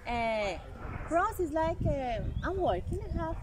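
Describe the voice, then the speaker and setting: A woman's voice: high-pitched, expressive, a little slow, noisy background. A woman from Colombia with a Colombian Spanish accent, Spanish as her first language, chatting casually as an interview guest, cheerful and laughing often, with many fillers.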